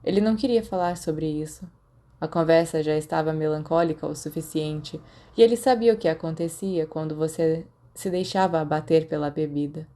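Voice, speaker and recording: A woman's voice: low voice, normal speed, mellow. A woman with a Brazilian accent narrating scripted fiction as an audiobook reader.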